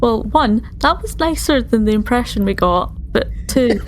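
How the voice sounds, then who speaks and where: A woman's voice: on the high side, animated, moderate pace, a little hiss or hum. A woman playing a tabletop game, casually improvising descriptions of the scene. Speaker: player in a tabletop roleplaying game.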